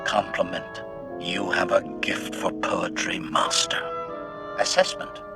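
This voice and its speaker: Low-voiced, coldly murderous robot assassin. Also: male voice, flat delivery, takes it slowly. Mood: proud, menacing. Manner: formal, clipped, announces each statement with a label. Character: cold, calculating male assassin droid, gleefully bloodthirsty, loyal servant. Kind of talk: scripted video game acting. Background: background music.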